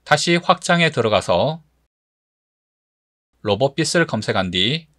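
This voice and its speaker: A man giving scripted tutorial narration as an instructor, explaining step by step in a measured manner. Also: medium pitch, big pitch swings, a bit bright, dry recording, clean studio sound.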